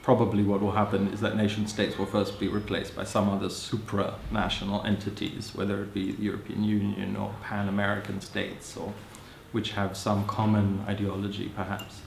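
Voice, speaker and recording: A man in his thirties who grew up in Hong Kong, speaking as an interviewee in a thoughtful, musing way, often adding "you know" and soft laughs. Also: on the low side, monotone, normal speed, creaky, hardly any pauses, slight background noise. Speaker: interviewee, social anthropologist. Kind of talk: reflective personal account. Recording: roomy echo.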